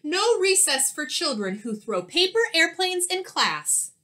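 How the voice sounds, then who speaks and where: A woman's voice: very high-pitched, very animated, unhurried, sharp tone, voice pushed up, exaggerated voice. A woman voicing a stern, commanding woman teacher in a storybook, seen as a monster. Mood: barking, angry. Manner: barking, commanding.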